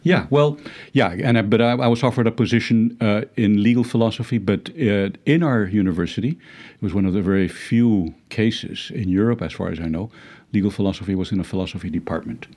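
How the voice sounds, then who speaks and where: Low-voiced Dutch-accented middle-aged man. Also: a little fast, a little mellow. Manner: hesitant, many fillers and restarts, wry chuckles. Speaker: legal philosophy professor. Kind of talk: reflective interview talk.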